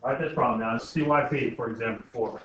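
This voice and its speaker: A man's voice: medium pitch, quick pace, a bit bright. A man teaching a class informally, often asking questions and checking that students understand. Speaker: algebra teacher. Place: indoor school classroom. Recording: very echoey noisy classroom, distant muffled mic.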